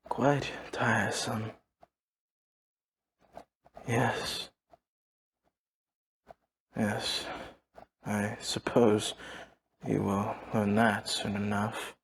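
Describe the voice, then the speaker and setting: A man playing a formal, polite servant to a vampire mistress, courteous and proper, in an audio roleplay. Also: fairly low pitch. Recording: faint background ambient noise.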